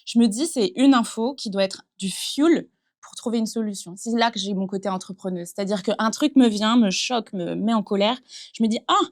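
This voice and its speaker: A thirty-year-old woman from western France talks through her path in an earnest, reflective way. Her voice sits at a middling pitch, and she speaks in a steady flow with many small fillers. She was recorded in a small improvised studio. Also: moderate pace. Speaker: entrepreneur guest. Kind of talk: casual interview conversation. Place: improvised studio in a concert hall dressing room.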